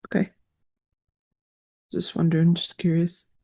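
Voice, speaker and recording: Soft-spoken player at mid pitch. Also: completely flat delivery, unhurried. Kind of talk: casual chat between players.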